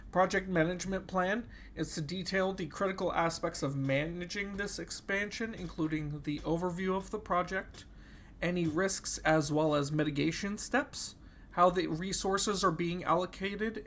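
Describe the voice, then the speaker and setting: Young male student, under the weather and nasal, a touch higher pitched, hesitant delivery full of fillers. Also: fairly bright tone. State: sick, slightly nasal. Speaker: student presenting an assignment. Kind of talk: casual class presentation.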